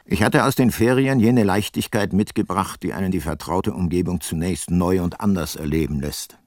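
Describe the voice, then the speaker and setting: An actor playing a reflective, weary private detective in a radio drama, measured and laconic. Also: male voice, deep voice, a little mellow, dry recording. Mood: reflective, wry. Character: weary, reflective male private detective narrating his case. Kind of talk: scripted narration in a radio drama.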